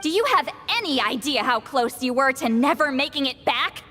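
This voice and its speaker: An actress voicing a haughty, sharp-tongued granny shaman priestess in an anime fantasy game, commanding, teasing and theatrical. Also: high voice, moderate pace. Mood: mocking, teasing. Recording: long reverb, music underneath. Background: game music.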